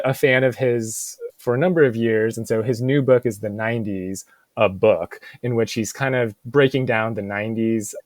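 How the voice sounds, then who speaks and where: An American man in his thirties chats casually and reflectively, often pausing to search for words and saying "like" a lot. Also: medium pitch, no room sound. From Seattle suburbs, United States. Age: 30s. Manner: halting, searching for words, frequent "like". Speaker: podcast co-host, bookstore manager.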